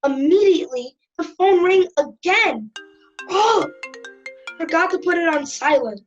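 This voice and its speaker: A woman's voice: extremely high pitch, animated, a little slow, muffled recording, faint music behind. A woman playing a cheeky, chatty schoolboy who tells his story in a wry, conversational way. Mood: wry, rueful. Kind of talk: first-person storytelling in audio drama.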